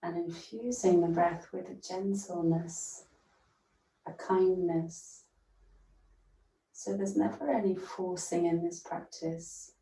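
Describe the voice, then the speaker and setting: Slow, low-voiced woman guiding meditation. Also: fairly even intonation. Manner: gentle, soothing, unhurried with long pauses. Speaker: yoga nidra teacher. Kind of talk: guided meditation. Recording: roomy echo, distant from mic.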